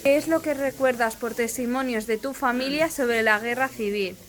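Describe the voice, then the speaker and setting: A woman's voice: fairly high pitch, normal speed. A woman working as an interviewer, putting prepared questions to people about their memories.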